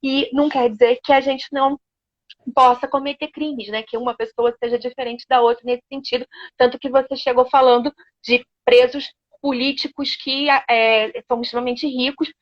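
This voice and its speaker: A woman with a somewhat high voice talks reflectively, often pausing on fillers as she works out her point. The sound is dull and lo-fi. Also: steady tone. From Brazil. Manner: reflective, hesitant with frequent fillers. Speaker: interviewer. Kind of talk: conversational interview.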